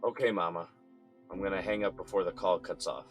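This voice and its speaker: Man playing a tired bitter jailed dad, very deep voice, sighing, resentful. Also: brisk, faint music behind, lightly acted. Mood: weary. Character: jailed father, weary and bitter. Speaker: son calling his mother from jail. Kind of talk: audio drama acting.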